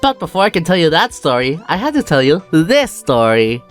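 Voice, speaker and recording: Lively storybook narrator with animated swings. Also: mid-range voice, a little fast. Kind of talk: storybook narration read aloud for a fan dub. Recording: music behind the voice.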